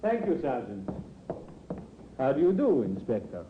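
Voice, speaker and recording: An actor playing a smooth-talking male tavern keeper under suspicion in a vintage radio drama, polite, evasive and defensive. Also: mid-range voice, sing-song, measured pace. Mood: politely guarded. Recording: muffled, hissy 1950s radio recording.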